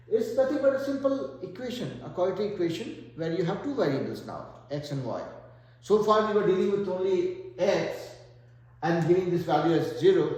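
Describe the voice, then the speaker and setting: A man's voice: fairly high pitch, darkish tone. A man with an Indian accent teaching a math lesson, working through problems step by step and thinking aloud. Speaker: math tutor. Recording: very echoey room.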